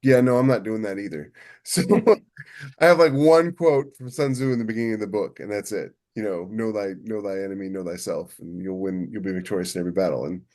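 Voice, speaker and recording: A man's voice: lowish voice, fairly quick, a little mellow. A man co-hosting a podcast, talking casually and in a rambling way about his craft as a writer. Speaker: podcast co-host and novelist.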